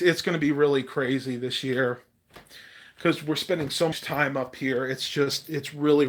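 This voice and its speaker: A man's voice: fairly high pitch, fairly bright tone, slight background noise. Chatty man with a dry, fading voice. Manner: rambling, chatty, with many fillers. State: losing his voice, dry mouth. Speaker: livestream host. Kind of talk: casual livestream chat reading comments.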